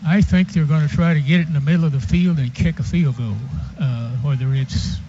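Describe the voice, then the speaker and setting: High-voiced American man as football analyst. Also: a little fast. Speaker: color analyst. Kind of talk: casual live football commentary. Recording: dull, noisy live radio broadcast.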